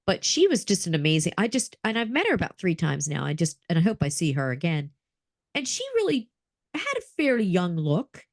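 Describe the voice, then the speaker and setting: A middle-aged woman co-hosting a casual chat with a friend, telling animated stories and laughing often. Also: lowish voice, fairly quick, dry recording, studio quality. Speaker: podcast co-host.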